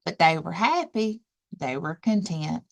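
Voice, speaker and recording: A woman librarian narrating a children's storybook aloud, deliberate and expressive, dwelling on action words. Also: fairly low pitch, creaky.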